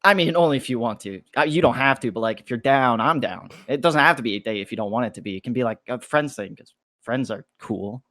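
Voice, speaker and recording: A man's voice: mid-range voice, lively intonation, fast talker, a bit bright, no room sound, clean studio sound. A man playing a nervous, self-deprecating college freshman with a hesitant delivery in a script table read. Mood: nervous, awkward. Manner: hesitant, stammering when nervous.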